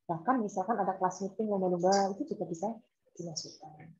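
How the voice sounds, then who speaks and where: Dosen perempuan bersuara lembut, audio teredam. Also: female voice, medium pitch, quick pace, warm tone, a little hiss or hum. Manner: informal, explains by examples and repetition. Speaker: university lecturer. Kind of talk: online lecture, explaining and asking students. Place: on campus, online class. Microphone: laptop video call. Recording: dull, lo-fi video call audio.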